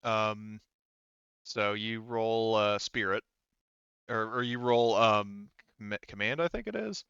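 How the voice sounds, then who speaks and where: A man running an online tabletop roleplaying game as game master, talking casually and haltingly as he thinks aloud and corrects himself. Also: fairly low pitch, creaky, fairly bright tone, smooth, no echo, muffled recording.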